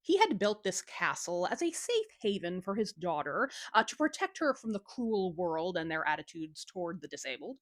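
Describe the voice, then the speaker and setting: A woman tells a folktale with very lively, swooping pitch and an informal, cheeky delivery. Her voice is recorded close and dry, with little room around it. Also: normal speed, hardly any pauses, no echo. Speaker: storyteller, host. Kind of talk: casual scripted folktale retelling.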